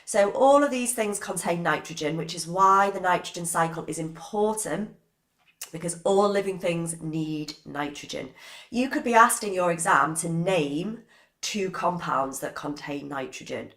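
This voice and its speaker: A woman, hoarse from a cold, giving a live-streamed biology revision lesson and chatting with viewers by name. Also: on the low side, measured pace, a bit bright. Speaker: biology teacher.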